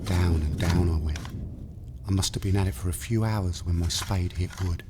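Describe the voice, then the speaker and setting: A man's voice: low voice, normal speed, very noisy. A man with a British accent narrating a scripted horror story in the first person.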